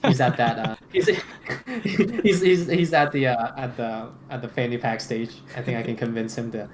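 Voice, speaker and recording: A man with a mid-pitched voice talks as a panelist in a casual roundtable podcast. He rambles in an emphatic, slangy way, with clear ambient noise behind him. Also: normal speed. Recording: clearly noisy background ambience.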